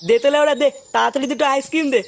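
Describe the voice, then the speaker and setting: A man's voice: extremely high pitch, fairly even intonation, racing, vocal fry, background noise. A man voicing a brash, pushy local loafer who argues and bullies, in a comedy cartoon. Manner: pushy, swearing, argumentative. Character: brash, foul-mouthed local loafer demanding things, bullying.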